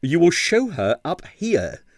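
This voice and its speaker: A man's voice: on the high side, huge pitch swings, slow, dry recording, clean studio sound, pitched above the performer's own voice, heavily acted. A man voicing a composed, tactful police detective, mild and affable yet firm, in a storybook reading.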